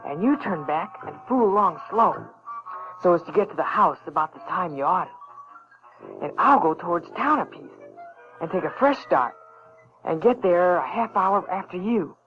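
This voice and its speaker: A brash country boy with a Southern accent for a radio drama. The voice is high and animated. Faint music sits under a dull old recording. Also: moderate pace. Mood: scheming. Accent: American South.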